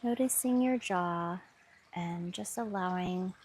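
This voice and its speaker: A woman guiding a mindfulness body scan meditation with soft, soothing instructions. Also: lowish voice, takes it slowly, a little hiss or hum. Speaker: meditation guide. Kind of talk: guided meditation.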